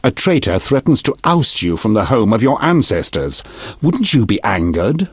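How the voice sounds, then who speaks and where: An actor playing a stern, shrewd middle-aged king in a video game, speaking formally and deliberately with a husky, commanding voice. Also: on the low side, very animated, no room sound, clean studio sound. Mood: stern, grave. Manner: formal, measured, imperious, deliberate diction. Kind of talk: scripted video game dialogue.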